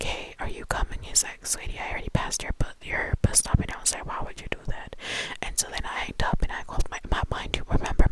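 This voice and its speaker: Whispering young woman telling a story. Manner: whispering, casual and rambling.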